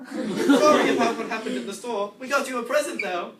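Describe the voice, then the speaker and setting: A man's voice: extremely high pitch, fairly quick, a loud crowd, voice pushed up. A man playing a bossy, scolding teenage schoolgirl given to exasperated outbursts in comedic reader's theatre. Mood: excited. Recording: noisy echoey room from far off.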